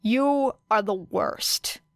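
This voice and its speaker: A woman voicing a stubborn, exasperated teenage boy who growls his words out slowly, in a storybook audio reading. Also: fairly high pitch, no room sound. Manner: slow, dry and exasperated.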